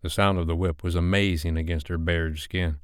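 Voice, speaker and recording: A man narrating an audiobook in a steady, measured reading style. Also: sits low, smooth, dry recording, studio quality.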